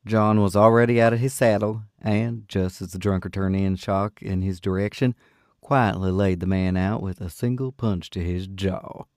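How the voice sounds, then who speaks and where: A man's voice: low voice, fairly even intonation, moderate pace, darkish tone, no room sound, studio quality. A man who works as an audiobook narrator and panel host, speaking expressively.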